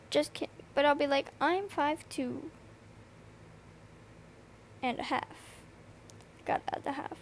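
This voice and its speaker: A teenage girl with a slight lisp, tired and rambling through a casual solo podcast, swearing often and full of fillers. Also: high-pitched. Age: teens. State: tired from lack of sleep. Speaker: podcast host. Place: noisy bedroom. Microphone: earbud microphone.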